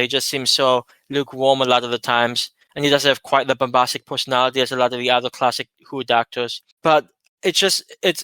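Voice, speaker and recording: A man's voice: mid-range voice, pushed and bright, smooth, no room sound. Easygoing, opinionated male co-host. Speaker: podcast co-host. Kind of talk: casual chat.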